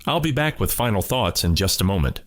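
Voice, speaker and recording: A man hosting a radio show with a measured, scripted announcer delivery. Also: on the low side, sing-song. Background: loud music.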